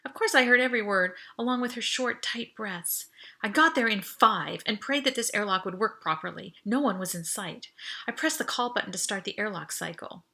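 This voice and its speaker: A woman reading first-person audiobook narration in an expressive, urgent, dramatic way. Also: medium pitch, moderate pace, creaky. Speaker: author reading own novel.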